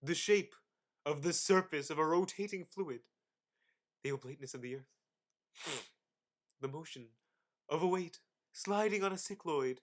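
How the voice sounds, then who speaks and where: A young man giving a dramatic reading of an encyclopedia article, with theatrical, exaggerated emphasis. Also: fairly high pitch. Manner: theatrical, exaggerated emphasis with sudden loud and hushed phrases. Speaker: student reader.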